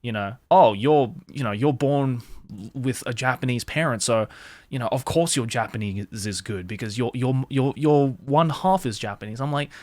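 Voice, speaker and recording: A man's voice: medium pitch, normal speed, no room sound. Candid young man, dry close sound. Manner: candid and animated, swears freely, lots of "like". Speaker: host. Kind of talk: casual interview chat.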